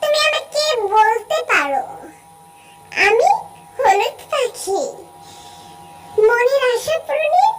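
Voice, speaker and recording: A performer voicing a kindly magical little yellow bird in a Bangla cartoon, with a sing-song, helpful way of talking. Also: very high-pitched, lively intonation, takes it slowly, long pauses, over music, heavily acted. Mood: kindly, concerned.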